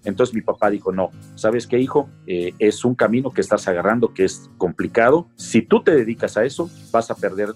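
A man's voice: on the low side, lively intonation, fairly quick, few pauses, darkish tone, faint music behind. A middle-aged Mexican man with a Mexican accent, earnestly recounting his life story in an interview.

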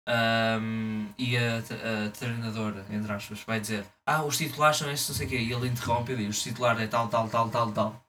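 A young man from Portugal co-hosting a podcast, chatting and joking fast with a friend. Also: medium pitch, flat delivery, sharp tone, distant mic, slight background noise. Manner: fast, joking, acts out quotes in mock voices. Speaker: podcast co-host. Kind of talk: casual banter between friends.

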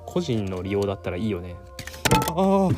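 A man's voice: lowish voice, big pitch swings, moderate pace. A man hosting a casual, rambling solo talk in a giggly, self-amused manner. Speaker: host of a solo talk show. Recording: music playing behind the voice. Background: background music.

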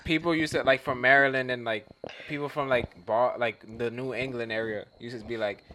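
A young man from New York telling a story in a low-key, casual way. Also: fairly even intonation, brisk, sharp tone, slight background noise, low-quality recording. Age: young adult. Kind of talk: casual chat.

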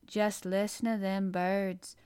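A woman voicing a cheerful country boy with a broad Yorkshire accent and a slow rustic drawl. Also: mid-range voice, flat delivery, darkish tone, smooth, close to an everyday voice. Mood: enthusiastic.

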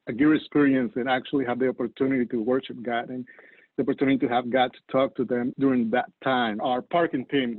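A man from Colombia with a Colombian Spanish accent, a native Spanish speaker, talking as a guest about leading volunteers at his church, unhurried and searching for words. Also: medium pitch, dry recording. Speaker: church campus leader. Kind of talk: podcast guest interview. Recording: dry tinny phone-like line.

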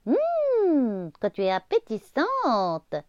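A woman voicing a small, cheeky, lively earthworm with a squeaky, excited voice. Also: extremely slow, no echo, muffled recording, heavily acted. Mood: admiring.

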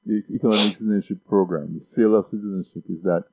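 Low-voiced guy from dominica, keeps repeating words as he builds his points, dry dull sound. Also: steady tone, fast talker, a little mellow, no room sound. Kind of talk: casual discussion.

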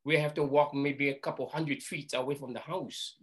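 An older man from Hawaii speaks. He recalls his early life in plain, halting phrases, repeating words as he goes. His voice sits at an ordinary middle pitch. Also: steady tone, fairly bright tone. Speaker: interview guest. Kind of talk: storytelling reminiscence.

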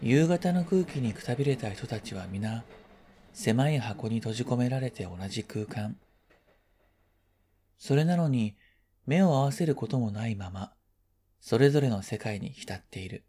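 A man reading a first-person literary story as scripted narration, in a quiet, reflective, measured manner. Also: mid-range voice, lively intonation, breathy edge. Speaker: story reader.

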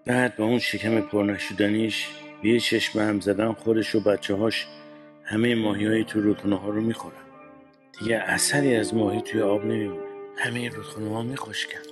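Man playing a low-voiced, kindly fisherman father. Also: fairly even intonation, soft background music, natural voice. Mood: anxious brooding. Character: kind, worried fisherman father standing up to bullies. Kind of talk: children's radio play acting.

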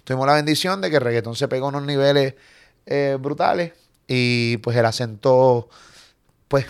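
Animated Puerto Rican man in his forties. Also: mid-range voice, smooth, no room sound. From Puerto Rico. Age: 40s. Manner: animated, swearing, quick storytelling. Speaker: comedian guest. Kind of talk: casual interview chat.

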